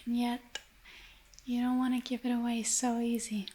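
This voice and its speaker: A woman playing a scheming, seductive femme fatale in an ASMR noir radio drama, speaking in a soft, breathy, coaxing whisper. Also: a little high, level tone, lightly acted. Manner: slow, intimate, coaxing.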